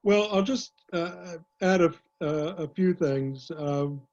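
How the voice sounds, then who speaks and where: Older man historian, dark muffled voice. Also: on the high side. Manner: measured, many "uh" pauses and restarts. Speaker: author and historian, guest speaker. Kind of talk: online panel talk answering questions.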